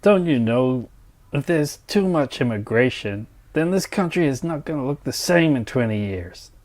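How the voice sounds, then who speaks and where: A man's voice: mid-range voice, lively intonation, moderate pace, darkish tone, lightly acted. Man acting a grumbling, resentful working man. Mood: sceptical grumbling. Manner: blunt, exasperated, sweary. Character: grumbling, resentful, set-in-his-ways male mine engineer. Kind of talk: dramatized dialogue.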